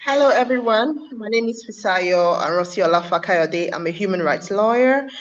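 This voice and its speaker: A woman, a human rights lawyer, introducing herself politely and calmly on a video call. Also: mid-range voice, normal speed. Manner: polite and composed. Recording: muffled video-call audio.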